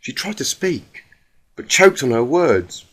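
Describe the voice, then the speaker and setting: A man's voice: medium pitch, sing-song, measured pace, a bit bright, slightly rough. A man reading a fan fiction story aloud as dramatic narration, with an emotive, emphatic delivery.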